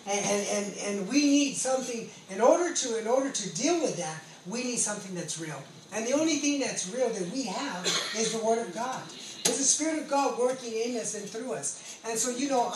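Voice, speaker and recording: An American man in his late forties giving an earnest, emphatic testimony as a preacher, often repeating his phrases. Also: high voice. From California, United States. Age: late 40s. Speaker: pastor and ex-convict preacher. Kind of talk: testimony sermon. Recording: roomy echo, distant mic, background noise.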